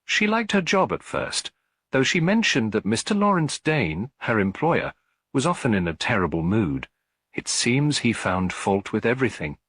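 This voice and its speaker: A man's voice: medium pitch, sing-song, moderate pace, fairly bright tone, husky, dry recording, pitched above the performer's own voice. A man voicing an anxious, tearful widow with a hesitant, pleading manner in a storybook reading. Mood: worried. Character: anxious, tearful working-class widow, thin and worried.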